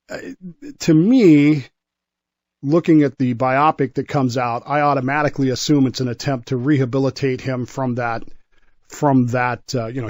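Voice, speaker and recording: An American man talking through history as a radio commentator, explaining in a measured way and often repeating himself haltingly. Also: medium pitch, a little mellow, dry recording. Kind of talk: conversational radio discussion.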